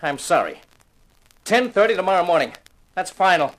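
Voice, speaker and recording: A cold, unyielding heir lays down orders in a high-pitched voice. His delivery is clipped and emphatic, in the manner of 1950s radio mystery drama. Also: sing-song, normal speed, fairly bright tone, rough recording. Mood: cold, resolute. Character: curt, unyielding male heir, coldly self-interested.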